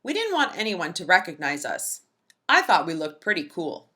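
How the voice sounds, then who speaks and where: A woman reading a children's picture book aloud in an expressive, emphatic storytelling manner. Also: lowish voice, normal speed.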